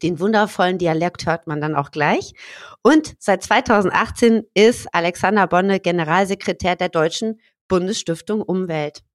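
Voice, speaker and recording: A woman's voice: fairly low pitch, moderate pace, no room sound. Host: woman, chatty and joking, slight cold, dry close sound. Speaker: podcast co-host. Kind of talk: casual podcast chat.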